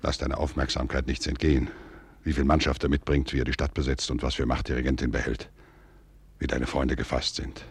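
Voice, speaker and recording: Cautious aristocrat, very deep husky voice, solemn pleading delivery, 1940s radio play, dry studio. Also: male voice, level tone, no echo, heavily acted. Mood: sorrowful pleading. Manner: solemn, measured, pleading, declamatory. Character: grave, cautious older nobleman urging his friend to flee. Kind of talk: 1940s German radio drama acting.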